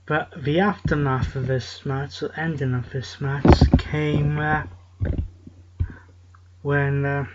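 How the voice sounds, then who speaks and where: A man hosting a casual talk show, recapping results at a halting, steady pace. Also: on the high side. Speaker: show host. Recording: lo-fi with loud hiss.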